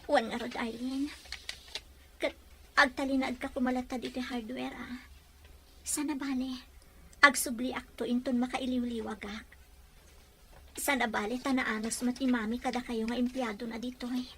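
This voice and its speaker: A woman's voice: on the high side, steady tone, moderate pace, long pauses, fairly bright tone, slight background noise. A woman acting a sorrowful young woman leaving home in a Filipino audio drama. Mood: resigned, sad.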